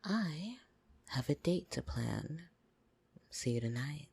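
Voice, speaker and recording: A woman playing a possessive, teasing vampire in an intimate ASMR roleplay, breathy and drawling, slipping into whispers. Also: sits low, lively intonation, slow, darkish tone, a little hiss or hum, full character voice. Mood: amused. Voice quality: husky, creaky. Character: possessive, teasing female vampire doting on her human pet. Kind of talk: ASMR roleplay, scripted monologue to the listener. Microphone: close, dry microphone.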